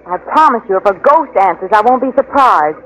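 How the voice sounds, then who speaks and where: A woman's voice: medium pitch, lively intonation, a little fast, close to an everyday voice. A woman playing a plucky, kind-hearted lady companion in a 1940s radio drama. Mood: uneasy. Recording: muffled, noisy 1940s radio recording.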